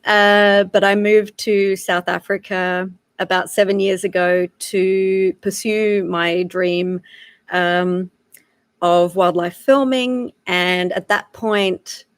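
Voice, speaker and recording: A woman's voice: medium pitch, slow, clean tone, no echo. A woman in her thirties with an Australian accent, giving a casual live-streamed lesson, with lots of "uh" and "um" as she talks. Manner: frequent filler "uh" and "um", relaxed and chatty. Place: home in South Africa.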